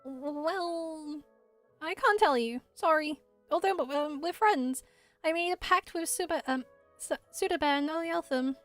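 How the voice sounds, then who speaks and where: Woman as an earnest, high-voiced little village boy. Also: a little slow, faint music behind. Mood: hesitant. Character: earnest young village boy, hesitant and innocent.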